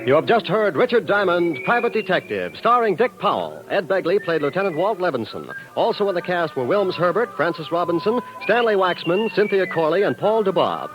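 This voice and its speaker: Animated high-voiced vintage radio announcer. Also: male voice, fairly quick, hardly any pauses, over music. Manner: polished, promotional. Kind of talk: 1950s network radio announcing, scripted. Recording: muffled old 1950s radio broadcast recording.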